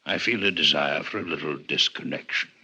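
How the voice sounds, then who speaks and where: An actor playing a courteous alien doctor in a 1950s radio drama, with formal, stiff and precise diction. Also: male voice, very deep voice, fairly quick, very noisy. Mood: formal, inquisitive. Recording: muffled, dull 1950s radio recording.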